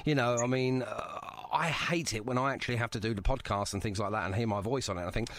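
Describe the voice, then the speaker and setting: A man's voice: medium pitch, fast, fairly bright tone. A man hosting a casual music interview podcast, chatty and self-deprecating in manner. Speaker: podcast host interviewing a band.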